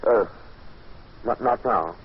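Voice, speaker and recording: A man's voice: lowish voice, takes it slowly. An actor playing a wealthy, dreamy man gripped by a strange obsession, speaking hesitantly and intensely in old radio drama style. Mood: obsessed, evasive. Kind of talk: 1940s radio acting. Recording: dull noisy old radio recording.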